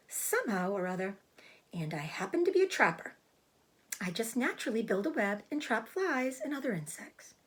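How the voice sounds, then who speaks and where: A genteel female spider from a storybook, acted out by a woman, speaks in a thin, breathy voice that lifts high and swoops. She is self-assured and clever, explaining her trapper's ways with proud, matter-of-fact calm.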